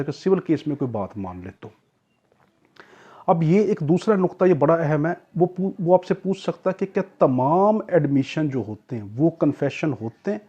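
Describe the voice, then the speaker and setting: A man's voice: on the high side, warm tone, slightly rough. A man teaching an exam-prep law lecture in a didactic way, repeating key points and answering his own questions. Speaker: law tutor. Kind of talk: explanatory exam-prep lecture with everyday examples. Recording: dull, lo-fi recording.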